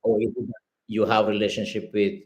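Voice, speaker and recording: A man from Sri Lanka chatting in a relaxed interview, pausing often to search for words. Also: fairly low pitch. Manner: hesitant, many fillers and restarts while searching for words. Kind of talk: casual interview conversation.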